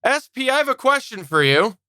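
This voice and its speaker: A man's voice: very high-pitched, sing-song, a little fast, sharp tone, smooth, dry recording, clean studio sound. A man hosting a podcast, presenting to listeners in an organized way. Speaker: podcast host.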